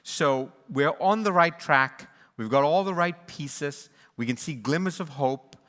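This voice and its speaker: Male moderator, earnest and reflective, bright forceful voice with long hall reverb. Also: fairly high pitch, unhurried. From born in Sri Lanka, raised in East and West Africa. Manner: earnest, reflective, with frequent restarts and fillers. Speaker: panel moderator, conservation charity leader. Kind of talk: closing remarks at a livestreamed panel.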